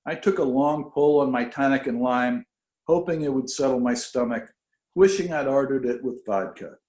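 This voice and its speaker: Guy reading as a low-key lady detective narrator, dry noir story, echoey room. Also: moderate pace, darkish tone, lightly acted. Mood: wry. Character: wry, low-key female private eye narrating in first person. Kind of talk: scripted first-person detective fiction read aloud. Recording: roomy, echoing video call audio.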